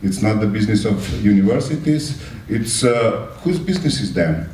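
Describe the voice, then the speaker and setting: A man speaking in an unscripted public address, halting and often hedging. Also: lowish voice, animated, a little mellow, distant mic. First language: not English. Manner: halting, hedging with frequent 'let's say'. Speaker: panel speaker. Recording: echoey room from afar, faint noise.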